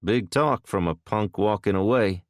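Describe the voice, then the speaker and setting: A man's voice: lowish voice, measured pace, dry recording, clean studio sound. A man voicing a tough armed man who speaks coolly with clipped threats. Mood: menacing.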